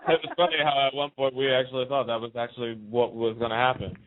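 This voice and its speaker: A man's voice: mid-range voice, steady tone, quick pace. A man hosting a casual radio talk show, speaking in an emphatic, outspoken way over a narrow phone-like line. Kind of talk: casual opinionated radio chat.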